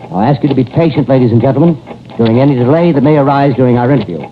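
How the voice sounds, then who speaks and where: An actor playing a courteous, eager male radio news commentator, speaking formally and a little haltingly in a 1930s radio drama. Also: medium pitch, expressive, close to an everyday voice. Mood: formal, inquiring. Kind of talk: 1930s radio drama live-broadcast reporting. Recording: scratchy, tinny 1930s radio recording.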